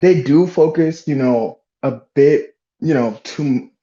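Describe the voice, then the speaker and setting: A man's voice: on the high side, takes it slowly, dark tone. A man chatting casually as a podcast panelist, slangy and sweary, heard through a somewhat lo-fi microphone. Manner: slangy, sweary, says "bro" and "you know" often. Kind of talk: casual chat.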